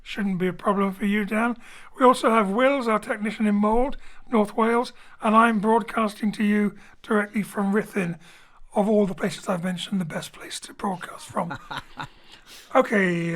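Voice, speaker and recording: A man hosting and introducing an audio drama from his home studio, relaxed and lightly joking. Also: high voice, moderate pace. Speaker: host and director. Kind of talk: friendly show introduction. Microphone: home studio mic.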